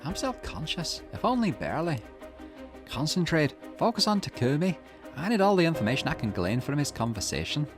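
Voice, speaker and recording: A man's voice: a little high, very animated, fairly quick, over music, pitched above the performer's own voice. A man voicing a drugged, defiant young woman held captive in a visual novel, gasping and stammering in panic and anger. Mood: straining, fading. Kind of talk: visual novel character reading.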